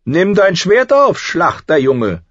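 Man playing an arrogant teenage prince, pitched way up. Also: sing-song, a little slow, no echo, dull audio, full character voice. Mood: taunting, commanding. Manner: haughty, commanding. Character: arrogant, cruel teenage prince, taunting and commanding.

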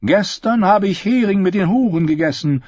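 A male narrator plays a cocky young minstrel in an audiobook, bragging and scornful. The voice is raised well above his own and a little husky, in a muffled, roomy recording. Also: high-pitched, lively intonation, normal speed, a little mellow, voice pushed up. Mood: boastful. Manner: boastful. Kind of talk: audiobook character voice.

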